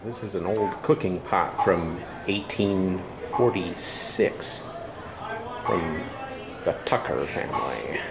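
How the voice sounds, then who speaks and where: A man in his forties hosting a casual travel vlog, slowly pointing out exhibits on a tour of an old fort. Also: low voice, lively intonation, creaky, over loud music. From California. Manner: slow, easygoing, pointing out exhibits. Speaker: vlog host. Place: outdoors at a historic fort and inside its rooms.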